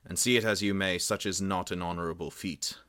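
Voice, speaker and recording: A man voicing a proud, bitter young prince who speaks forcefully and resentfully. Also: deep voice, fairly even intonation, a little fast. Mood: defiant.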